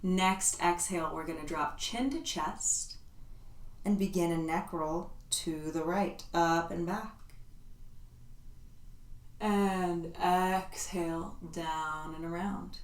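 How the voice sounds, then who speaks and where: A woman leading a yoga class, giving calm, soothing step-by-step cues. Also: fairly low pitch, slow pace. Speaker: yoga instructor. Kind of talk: guided yoga class instruction. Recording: roomy echo, voice from a distance.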